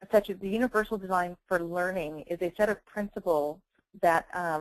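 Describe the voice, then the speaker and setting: A woman giving a semi-scripted software webinar presentation in an explanatory, conversational manner. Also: lowish voice, no room sound, rough recording.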